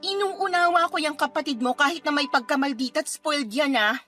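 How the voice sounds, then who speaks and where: A woman's voice: high voice, brisk, a bit bright, soft background music. A woman playing an indignant, defensive girlfriend in a Filipino radio drama, with emphatic, sarcastic retorts and a Filipino accent. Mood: sarcastic, hurt. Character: indignant girlfriend, defensive, sarcastic, hot-tempered older sister type.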